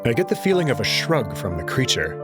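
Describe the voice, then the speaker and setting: A man's voice: medium pitch, sing-song, fairly quick. A man reading a visual novel as dramatic first-person scripted narration. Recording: dry close voice over faint music.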